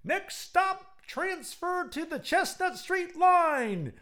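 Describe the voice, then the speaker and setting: A man's voice: very high voice, big pitch swings, takes it slowly, bright, forceful tone, voice pushed up, exaggerated voice. A man voicing a male streetcar conductor calling out stops in a story. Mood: announcing. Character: loud high-voiced streetcar conductor, male.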